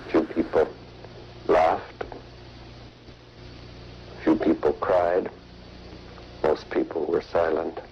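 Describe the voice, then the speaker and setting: A man speaks in an extremely low, flat voice. He is very slow and somber, halting between phrases. The recording is dull and a little noisy. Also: creaky. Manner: somber, halting, long pauses. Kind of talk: slow, solemn recollection.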